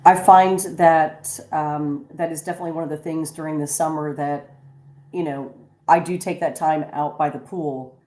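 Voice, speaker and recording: A woman hosting a radio talk show, chatty and halting, with many restarts. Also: sits low, steady tone, warm tone, roomy sound. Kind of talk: casual radio chat.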